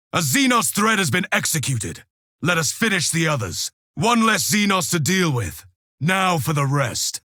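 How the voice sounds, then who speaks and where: A man's voice: fairly high pitch, pushed and bright, dry recording, clean studio sound, heavily acted. An actor playing a grim, pious armored male super-soldier, declaiming solemn insults over slain foes in a video game. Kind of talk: video game combat voice lines.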